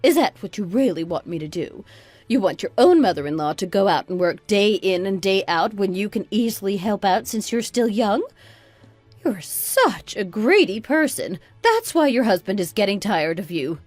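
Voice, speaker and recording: A woman's voice: mid-range voice, sing-song, moderate pace, a little mellow, no echo, faint music behind. A woman playing a spiteful, self-righteous mother-in-law of sixty-two in an audio drama, scolding and condescending with dramatic exclamations. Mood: scolding, contemptuous. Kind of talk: scripted radio drama dialogue.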